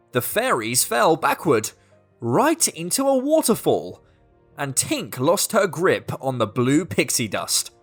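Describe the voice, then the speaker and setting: Slow, very animated woman storyteller. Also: fairly low pitch. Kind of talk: expressive scripted children's story narration.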